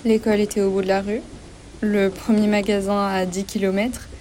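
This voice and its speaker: Mellow-voiced young French woman narrating. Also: mid-range voice, level tone, normal speed, a little hiss or hum. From France. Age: 20s. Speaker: podcast host and narrator. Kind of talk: scripted narration and interviewing.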